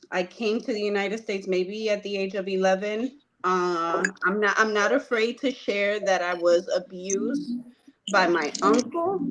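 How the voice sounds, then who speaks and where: A woman from Puerto Rico telling her life story in a conversation, earnest and hesitant, with frequent pauses. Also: mid-range voice, low-quality recording. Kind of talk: personal testimony in conversation.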